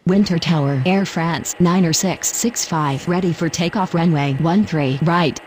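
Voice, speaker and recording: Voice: medium pitch, measured pace, slight background noise, close to an everyday voice, rough recording. A voice actor playing an airline pilot, speaking in formal radio phrases.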